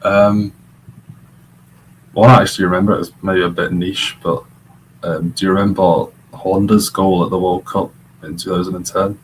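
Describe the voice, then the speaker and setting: A man's voice: low-pitched, little pitch movement, moderate pace, a little hiss or hum, poor audio. A young man from Scotland, a podcast guest, chatting casually and rambling with fillers. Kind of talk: casual chat.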